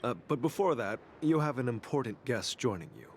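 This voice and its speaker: A man voicing a polite, mysterious young man who tends graves in a game, courteous and softly formal, with game music behind. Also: medium pitch, lively intonation, a little fast, breathy edge. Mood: inviting. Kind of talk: game voice acting. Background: game background music.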